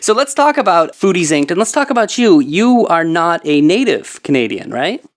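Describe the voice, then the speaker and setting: A man's voice: sits high, big pitch swings, moderate pace. A man hosting a food show, guiding a guest with upbeat questions. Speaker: podcast host. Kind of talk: hosted food interview.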